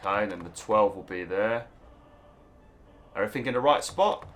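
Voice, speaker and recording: A young British man talks through a game in a relaxed, chatty way, his voice sitting a bit low. His throat sounds a little rough and hoarse. He now and then bursts out in mild, exasperated swearing at what happens on screen. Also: moderate pace, a little hiss or hum. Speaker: let's play host. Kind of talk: casual gameplay commentary.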